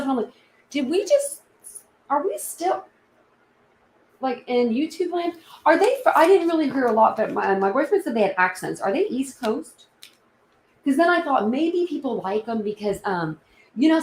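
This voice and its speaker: A woman's voice: medium pitch, creaky, reverberant, slight background noise, low-quality recording. A young woman hosting a casual true crime livestream, rambling and chatty with many restarts. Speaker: YouTube true crime channel host.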